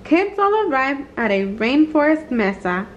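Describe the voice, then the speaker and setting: A woman reading a children's picture book aloud in an expressive, sing-song way. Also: high voice, moderate pace, muffled recording. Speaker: storybook reader.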